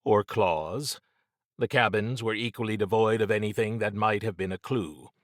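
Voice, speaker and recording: A man reads scripted fiction as an audiobook narrator. His voice is fairly low and the telling is dramatic. The recording is dry, with very little room sound. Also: normal speed, no room sound.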